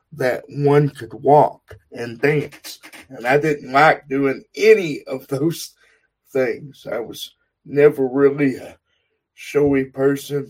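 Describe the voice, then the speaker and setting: Texan man, podcast guest, unhurried earnest delivery, middle pitch. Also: a little mellow, rough recording. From Texas. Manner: slow, deliberate, earnest storytelling. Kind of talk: podcast interview.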